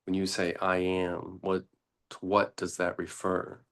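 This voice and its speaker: A man teaching meditation in an unscripted, deliberate way, pausing often between short phrases. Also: deep voice, monotone.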